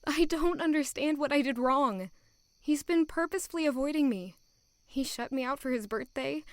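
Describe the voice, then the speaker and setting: A woman's voice: sits high, moderate pace, dry recording, lightly acted. A young woman acting an anxious, lovelorn servant girl in an audio drama, earnest and plaintive. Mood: hurt, frustrated.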